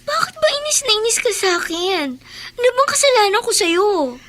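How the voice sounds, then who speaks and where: A feisty Filipino teenage daughter in a radio drama. Her voice is very high and bright, plaintive and indignant while still respectful. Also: very animated, moderate pace, full character voice. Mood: hurt. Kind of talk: Tagalog radio drama acting.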